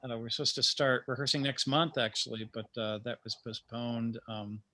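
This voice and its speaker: A man who is a playwright, talking in a halting, effusive way in an informal discussion. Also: mid-range voice, fairly even intonation, sharp tone. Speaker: playwright, actor and teacher.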